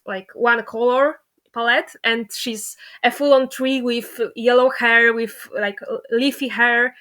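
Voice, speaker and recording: A woman's voice: fairly high pitch, fairly bright tone. A woman hosting a casual interview, talking in an enthusiastic, effusive way. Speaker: interviewer, fandub creator.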